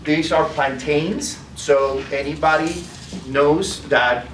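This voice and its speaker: Guy doing a food product demo, keeps saying okay?, boomy room, noisy. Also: fairly even intonation, slow pace, fairly bright tone. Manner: tags lines with "okay?". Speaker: sales presenter. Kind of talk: live product demo talk. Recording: echoey room, mic far off, noisy.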